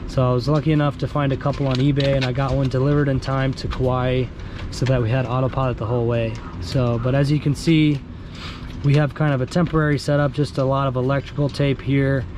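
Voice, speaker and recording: A man with a hoarse voice from a cold, casually explaining his boat's gear step by step with many pauses and ums. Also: medium pitch, level tone. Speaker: sailboat owner vlogger. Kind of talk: casual show-and-tell vlog. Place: outdoors on a sailboat in a marina, windy. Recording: windy outdoor camera audio. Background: wind and harbor noise.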